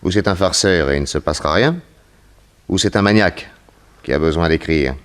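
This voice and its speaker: An actor playing a composed, shrewd private detective in a 1960s radio serial, measured and authoritative. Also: male voice, deep voice, fairly bright tone. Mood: grave, measured.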